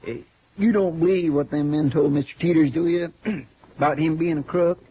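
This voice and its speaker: High male voice, folksy rural American sidekick, deferential, inquisitive, muffled old radio western. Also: steady tone, normal speed, breathy edge. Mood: earnest. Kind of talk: old-time western radio drama acting.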